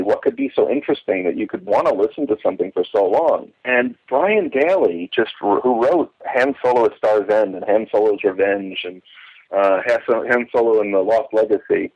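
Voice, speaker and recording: A man's voice: lowish voice, husky. A man speaking as an interview guest, enthusiastic and emphatic, recollecting conversationally and wandering off into asides. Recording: muffled, phone-like lo-fi line.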